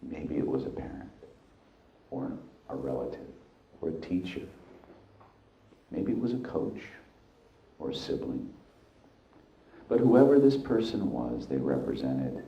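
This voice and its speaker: A man leading a guided hypnosis, speaking deliberately in short, halting phrases with hypnotic repetition. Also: very deep voice, a little hiss or hum. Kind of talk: guided hypnosis, slow meditative narration. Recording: echoey, dull sound with music behind. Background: background music.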